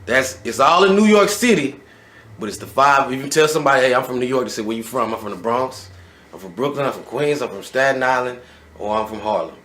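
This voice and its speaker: Animated man hosting a casual interview. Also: medium pitch, fast talker. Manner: animated, builds questions out loud, many "all right"s. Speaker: interviewer, show host.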